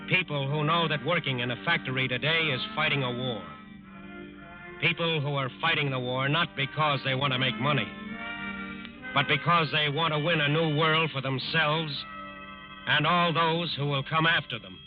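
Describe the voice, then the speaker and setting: A man's voice: a little high, fairly even intonation, moderate pace, music in the background, close to an everyday voice. An actor narrating a 1940s wartime radio drama as a solemn American man, oratorical and earnest. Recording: dull lo-fi 1940s radio recording.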